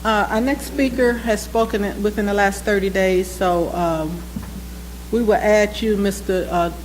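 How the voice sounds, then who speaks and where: A woman chairs a board meeting in a public chamber, reading procedures aloud in a measured, formal way at a desk microphone. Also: medium pitch, steady tone, a little hiss or hum, low-quality recording.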